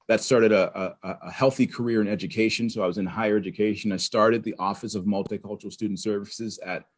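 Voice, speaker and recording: A man from Pittsburgh, a podcast guest, telling his story in an animated, conversational way with frequent restarts. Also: fairly low pitch. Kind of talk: conversational interview.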